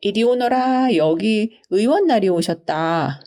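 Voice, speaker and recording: Woman plays sly storybook wanderer bluffing as a doctor, mellow and animated, grandly self-assured old-time phrasing. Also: medium pitch, takes it slowly. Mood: confidently bluffing. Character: crafty hungry male traveler posing as a physician. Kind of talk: storybook character reading.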